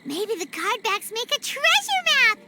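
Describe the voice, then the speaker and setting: A woman voicing a tiny, excitable floating companion girl in a video game cutscene, chatty and referring to herself in the third person. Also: extremely high pitch, measured pace, very noisy, exaggerated voice.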